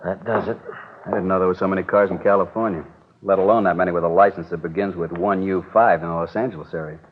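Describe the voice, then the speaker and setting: A plain-spoken federal agent, played by a man, speaks quickly in a low voice. It sounds like 1950s radio drama, tinny and band-limited. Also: fairly even intonation, close to an everyday voice. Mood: plain, weary. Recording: noisy, tinny vintage radio recording.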